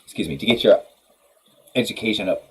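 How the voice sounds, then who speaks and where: A man's voice: on the low side, a little fast, husky, low-quality recording. A man in his thirties hosting a music livestream from a home studio, talking in a rambling casual way with lots of ums, his nose stuffed up from a cold. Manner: rambling, many ums and restarts, laughs easily. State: congested from a cold. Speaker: livestream host of a music workshop.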